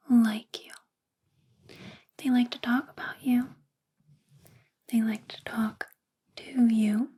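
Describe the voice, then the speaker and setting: A woman acts out a possessive female boss who dotes on her employee in an ASMR roleplay. Her voice is high yet soft and whispery, with very little rise and fall. She coaxes and teases slowly, in an intimate, flirtatious way. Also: husky, heavily acted. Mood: teasing, possessive. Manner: slow, intimate, coaxing, teasing.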